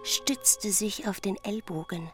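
A female narrator in a literary radio play. She speaks slowly at a medium pitch, in short phrases, with clear marimba music behind her. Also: fairly even intonation.

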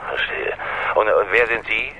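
A man's voice: fairly low pitch, animated, normal speed, gravelly, bright, forceful tone, heavily acted. A man playing a wary, polite police lieutenant colonel in a radio drama, hesitant and earnest, on the telephone. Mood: wary, probing. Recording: muffled phone line, faint noise.

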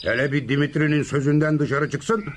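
A man's voice: fairly high pitch, steady tone, brisk, lightly acted. An actor playing a hard-drinking, bullying Greek father in a theatrical, exclamation-filled radio drama style, on a noisy recording. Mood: grumbling, boastful. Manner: theatrical, full of exclamations and grumbling.

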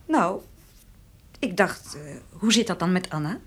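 An actress playing a frank, gently teasing countrywoman in a naturalistic radio drama. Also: fairly low pitch, expressive, measured pace, slightly rough. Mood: cautious, probing. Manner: direct, teasing.

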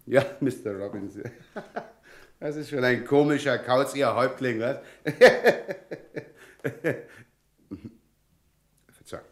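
Jovial, sly auditor. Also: male voice, mid-range voice, slow pace, pauses often. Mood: teasing, ingratiating. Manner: chatty, ingratiating, teasing. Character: jovial, sly, effusively polite male visiting auditor. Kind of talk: 1970s German radio play acting.

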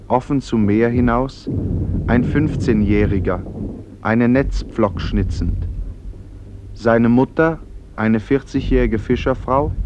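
An actor as the sober narrator of a radio play, reading scene descriptions in a measured way. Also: male voice, mid-range voice, darkish tone, highs cut off, close to an everyday voice. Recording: old radio recording, clear background noise.

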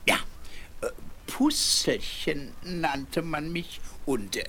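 An actor playing a grumpy, boastful old chained dog who reminisces in a children's fairy-tale radio play, with a husky, breathy voice. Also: male voice, on the high side, animated, pushed and bright, a little hiss or hum, exaggerated voice. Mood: nostalgic, proud. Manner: slow, drawn-out, grumbling reminiscence.

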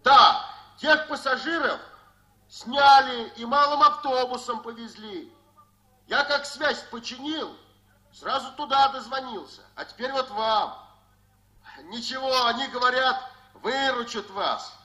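A man's voice: very high-pitched, a little slow, sharp tone, exaggerated voice, rough recording. An actor playing a chatty, folksy telephone repairman in a 1960s Soviet radio play, chuckling and rambling. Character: chatty male repairman phoning from a cold forest road.

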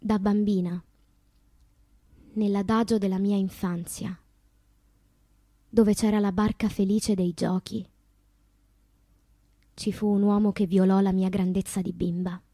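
Radio play: wounded, wistful woman poet, husky and breathy, dramatic deliberate delivery, very dry close sound. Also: medium pitch, level tone, dark tone, no echo. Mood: wistful, haunted. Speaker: actress. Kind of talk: theatrical radio monologue of poetic memoir.

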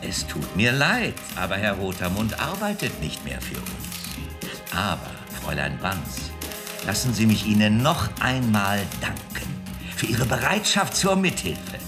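A man's voice: lowish voice, huge pitch swings, a little slow, music in the background. An actor playing a smug man in a radio drama, politely gloating with unctuous courtesy. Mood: smug, courteous.

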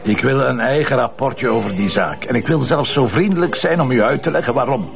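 A man playing a gravelly, bossy Flemish police commissioner. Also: medium pitch, brisk, crowd noise, lightly acted. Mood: wry. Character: gruff sardonic male police commissioner, middle-aged. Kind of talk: Belgian radio/TV drama acting.

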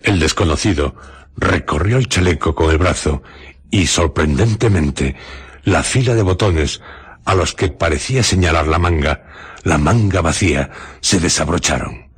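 Deep-voiced male radio drama narrator. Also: slightly rough. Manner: measured, literary.

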